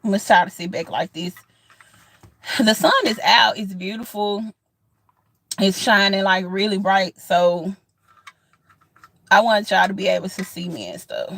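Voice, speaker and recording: A woman's voice: mid-range voice, expressive, unhurried, gravelly, pauses often, a bit bright, dry recording. A woman in her thirties chatting casually outdoors, earnest and encouraging, repeating herself with lots of fillers. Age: 30s. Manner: earnest, repetitive, encouraging, colloquial with many fillers. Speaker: vlogger. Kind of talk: casual outdoor vlog chat. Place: outdoors in sunlight.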